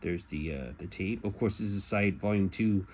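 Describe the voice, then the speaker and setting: A middle-aged man giving a casual show-and-tell as a collector, talking in a halting, rambling way and often correcting himself. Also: on the low side, darkish tone. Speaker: collector presenting memorabilia. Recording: clear background noise.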